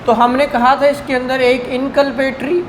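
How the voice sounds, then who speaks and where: A man's voice: extremely high pitch, little pitch movement, moderate pace, background noise. A man with a Pakistani Punjabi accent giving a didactic classroom law lecture, repeating points and questioning students. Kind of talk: classroom lecture, code-switching into English terms.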